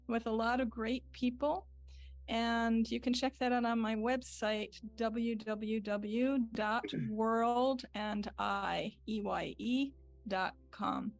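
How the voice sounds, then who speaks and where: Slow, higher-pitched woman announcing. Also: dry recording. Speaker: arts director and voice actor. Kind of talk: casual announcement and plug.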